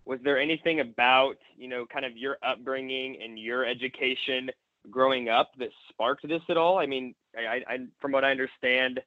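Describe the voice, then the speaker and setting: A man's voice: mid-range voice, fairly even intonation, moderate pace, no room sound. Man interviewing on a dull, lo-fi recording. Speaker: podcast interviewer. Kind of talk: casual sports podcast interview. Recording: dull, lo-fi, dry, music behind.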